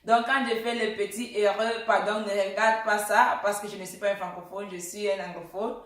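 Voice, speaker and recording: A woman's voice: medium pitch, steady tone, moderate pace. A young woman interpreting a sermon line by line, often hesitant and restarting words, and answering amen. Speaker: church interpreter. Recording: very echoey room.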